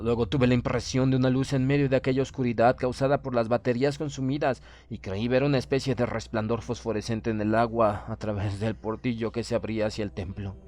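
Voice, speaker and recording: Male audiobook narrator for classic horror, mid-pitched voice, measured and solemn first-person reading. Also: flat delivery, a little mellow.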